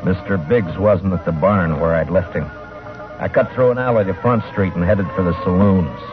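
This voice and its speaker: An actor playing a stern, steady frontier marshal who also narrates, in old-time western radio drama style. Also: male voice, sits low, moderate pace, lightly acted. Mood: grave narration. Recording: muffled old radio broadcast, music behind.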